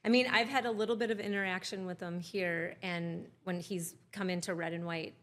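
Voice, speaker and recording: A woman's voice: lowish voice, steady tone, a little fast, slight background noise. Encouraging female podcast host. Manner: affirming, constant backchannel "mm-hmm". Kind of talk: casual interview.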